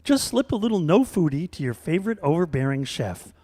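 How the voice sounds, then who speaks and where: Animated pitchman played by a man, high-voiced, echoing hall. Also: a little fast, slightly rough. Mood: salesy, assured. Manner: emphatic salesman delivery. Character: smooth male radio commercial pitchman, earnest and upbeat. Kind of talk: scripted parody ad read, live radio theater. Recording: reverberant hall stage recording.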